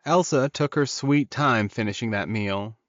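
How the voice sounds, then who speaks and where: A man's voice: medium pitch, measured pace, a bit bright, no room sound, lo-fi sound. A man reading scripted fantasy romance as an audiobook narrator.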